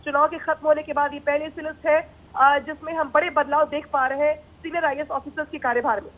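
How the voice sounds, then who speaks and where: A woman news reporter giving a live report in an explanatory, halting way with repeated words. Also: high-pitched, fairly even intonation. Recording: tinny narrow phone line.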